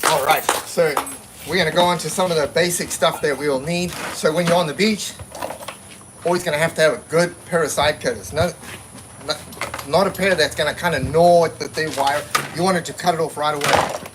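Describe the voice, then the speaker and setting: A man's voice: on the high side, fairly even intonation, brisk, noisy background. A man giving a casual, practical talk at a seminar, plainspoken and given to repeating himself while he explains. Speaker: seminar presenter.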